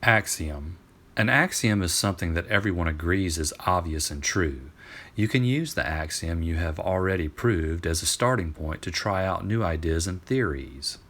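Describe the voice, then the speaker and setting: A man reading an educational storybook aloud to students in an expressive, emphatic teacher's manner. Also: sits low, normal speed. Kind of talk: scripted storybook narration for students.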